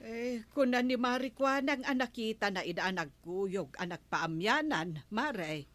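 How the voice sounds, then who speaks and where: A woman playing a worried, chatty villager in a comedy drama, with hesitant, drawn-out interjections. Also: on the high side, expressive, fairly bright tone. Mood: concerned. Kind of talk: broadcast comedy drama acting.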